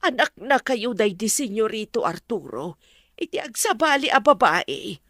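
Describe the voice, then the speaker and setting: A frank household confidante in a drama. She has a slightly high voice in a close, dry recording and speaks firmly, explaining with reproach. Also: sing-song, moderate pace, fairly bright tone, no room sound. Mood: solemn, confessing. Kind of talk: drama dialogue.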